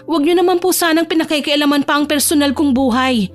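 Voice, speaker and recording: A woman's voice: sits high, quick pace, voice pushed up, close to an everyday voice. A woman playing a meek, polite young female office newcomer in a romance audio drama, deferential and plaintive. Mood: hurt, pleading.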